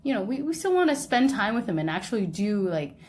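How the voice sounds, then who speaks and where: A woman's voice: mid-range voice, very animated, fast, a little mellow, slight background noise. A young woman, co-hosting a podcast in casual, conversational chat, often checking in with the listener. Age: young adult. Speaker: podcast co-host.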